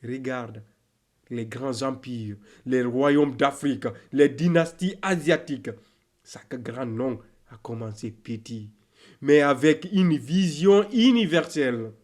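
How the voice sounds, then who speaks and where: A man reciting a motivational poem in a declamatory, exhortative way, in short measured phrases with deliberate pauses. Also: mid-range voice, darkish tone.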